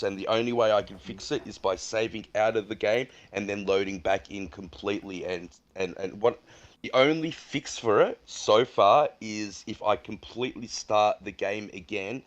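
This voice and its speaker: A man's voice: fairly low pitch, lo-fi sound. A man chatting casually on a gaming podcast, blunt and complaining, with loose filler-heavy talk over a headset.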